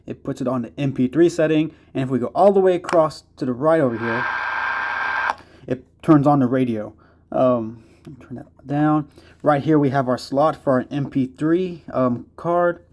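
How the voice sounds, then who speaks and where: Male product reviewer, soft-edged warm timbre, mid-pitched, informal and rambling, hesitant delivery. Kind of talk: casual hands-on product review.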